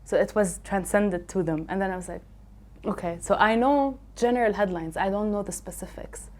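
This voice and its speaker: A woman's voice: mid-range voice, normal speed. A young woman from a Palestinian family raised in Lebanon, a podcast guest, talking casually and earnestly, with many fillers and restarts. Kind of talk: casual conversation.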